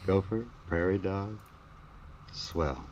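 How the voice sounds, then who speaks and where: An actor playing a wry, folksy American storyteller in an old radio detective drama, using playful slang. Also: male voice, deep voice, slow pace, darkish tone, dull audio. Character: wisecracking folksy male storyteller of detective tales. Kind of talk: 1940s radio acting. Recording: old radio recording with background hiss.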